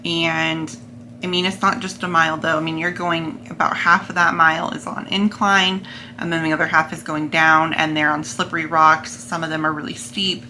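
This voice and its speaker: A woman's voice: low voice, little pitch movement, normal speed, bright, forceful tone, slight background noise. A young adult American woman chatting casually to camera in a vlog, seated in a plain room at home. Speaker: vlogger.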